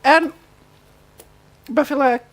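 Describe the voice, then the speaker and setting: A woman with a fairly high voice hosts a casual recap podcast. She retells scenes in a loose, chatty way, slipping into quoted dialogue as she goes. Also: slow, low-quality recording. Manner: animated retelling, acting out bits of dialogue. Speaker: podcast co-host.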